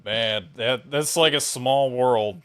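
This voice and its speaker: A man from the United States hosts a casual podcast interview. His voice is mid-pitched with a bright, forceful edge, and he talks in a loose, conversational style. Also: slow, clear, resonant tone. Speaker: podcast host.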